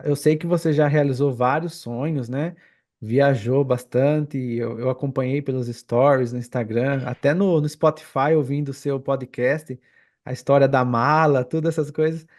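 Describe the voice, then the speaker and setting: A Brazilian man speaks at an average male pitch. He co-hosts an interview podcast and puts his questions in a relaxed, chatty conversational style. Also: normal speed. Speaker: podcast co-host. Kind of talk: casual interview chat.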